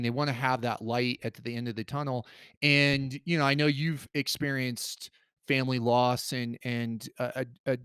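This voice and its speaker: Halting podcast host, smooth dry voice. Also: male voice, medium pitch, fairly bright tone, no room sound. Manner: halting, many restarts and fillers. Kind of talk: interview questions.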